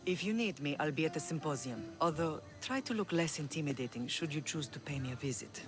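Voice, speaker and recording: A woman playing a dignified older Greek mother who rules an island, grave and measured, in a video game drama. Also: low-pitched, expressive, over music. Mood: commanding.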